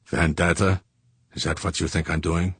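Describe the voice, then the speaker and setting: A man voicing a hard, stubborn rebel leader in a storybook, speaking clipped and low. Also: level tone, moderate pace, fairly bright tone, slightly rough, no echo, full character voice. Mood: cold.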